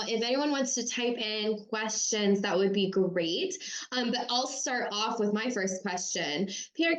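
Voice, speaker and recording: A woman's voice: mid-range voice, poor audio. A woman moderating an online Q&A, thanking the speaker and reading out questions from the chat. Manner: friendly, reads questions from the chat.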